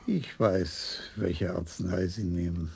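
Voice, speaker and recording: An actor in a radio crime play, playing a shrewd older police chief who asks measured, probing questions. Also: male voice, sits low, gravelly, darkish tone, breathy edge, heavily acted.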